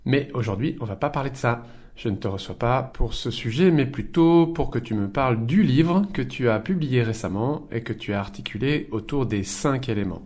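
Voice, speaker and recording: A man's voice: mid-range voice, moderate pace, darkish tone. A man hosting a podcast interview, asking questions in a friendly, informal way.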